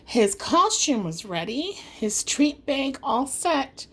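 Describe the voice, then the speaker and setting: A woman narrates a rhyming story for children. Her voice is high and highly animated, taken at an unhurried pace. The delivery is dramatic and singsong, like an audiobook reader. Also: breathy edge.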